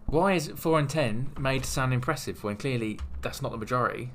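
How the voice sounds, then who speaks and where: A British man talks in a middling pitch, hosting a casual chat. His style is loose, friendly banter. A light layer of noise sits under the recording. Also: fairly quick. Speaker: podcast host.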